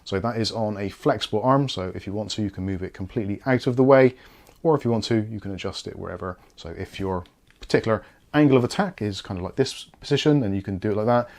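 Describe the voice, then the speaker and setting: A man's voice: fairly low pitch, quick pace, a little mellow. A British man giving a casual product review and unboxing, explaining things in a chatty, easygoing way.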